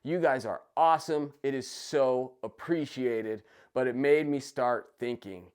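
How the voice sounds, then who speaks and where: Raspy, congested man vlogging. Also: medium pitch. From United States. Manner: enthusiastic, chatty. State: raspy and nasal from a cold. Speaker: gun channel host. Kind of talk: casual personal vlog. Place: basement room.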